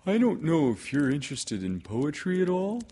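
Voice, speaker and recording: A man's voice: a little high, wildly swooping pitch, moderate pace, warm tone, husky, pitched above the performer's own voice, full character voice. A man voicing a good-natured, simple-minded little toy bear in a storybook, hesitant and earnest, with ponderous delivery.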